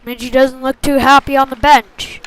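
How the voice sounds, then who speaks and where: High-pitched woman calling a hockey game. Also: normal speed, rough recording. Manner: short clipped phrases, excitable on big plays. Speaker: hockey play-by-play commentator. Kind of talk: live sports commentary.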